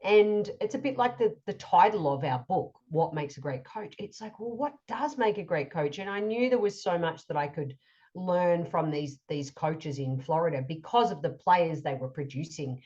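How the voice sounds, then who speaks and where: Husky woman, eager storyteller. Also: fairly low pitch, animated, a little mellow. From Australia. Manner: enthusiastic, quick storytelling. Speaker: co-host and author. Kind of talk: casual podcast chat. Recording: dull lo-fi audio.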